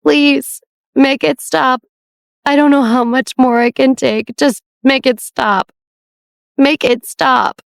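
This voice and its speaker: A woman voicing a young woman in severe pain, weak and raspy, pleading in halting, broken phrases. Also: sits high, steady tone, no echo, pitched above the performer's own voice, exaggerated voice. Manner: halting, breaking off and repeating words.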